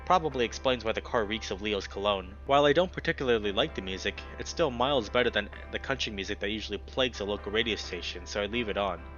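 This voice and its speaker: A man reading a visual novel's story text aloud in expressive narration, occasionally stumbling over words. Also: fairly low pitch, quick pace, hardly any pauses, lo-fi sound. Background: music.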